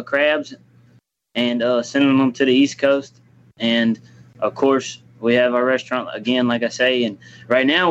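A man's voice: medium pitch, muffled recording, slight background noise. A man in his twenties answering interview questions casually, restarting his phrases and replying politely with "yes, sir.". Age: 20s. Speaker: guest, young business owner.

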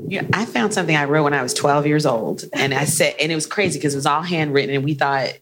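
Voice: medium pitch, fast talker, noisy background. A panelist telling a casual anecdote in an informal, chatty way.

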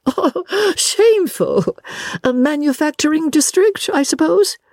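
A woman voicing a fussy, theatrical older English lady from a novel, gushing and bossy, with drawn-out, affected exclamations. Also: high-pitched, lively intonation, darkish tone, no room sound, clean studio sound, pitched above the performer's own voice. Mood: shocked, delighted.

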